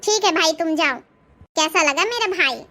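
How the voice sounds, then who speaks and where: A woman voicing a bold, protective young daughter-in-law in a cartoon comedy, speaking briskly and assertively. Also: very high voice, pushed and bright, a little hiss or hum. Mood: friendly.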